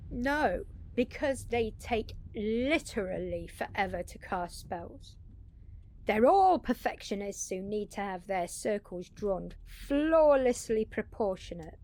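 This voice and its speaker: A woman's voice: mid-range voice, darkish tone. A woman playing a confident, wry female vampire mentor in an audio roleplay, explaining things to one listener in a chatty, informal way. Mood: wry, teasing.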